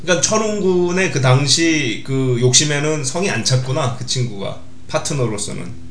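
A man's voice: on the high side, lively intonation, normal speed, fairly bright tone, echoey room, a little hiss or hum. A man hosting a casual podcast chat, talking in a conversational, interviewing way. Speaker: podcast host.

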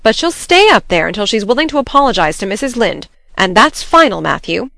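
A woman plays a brisk, no-nonsense farm woman in a storybook. She is stern and crisp, with animated pitch, recorded close and dry. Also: dry recording, heavily acted. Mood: firm. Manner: crisp, firm, indignant. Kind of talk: audiobook character acting.

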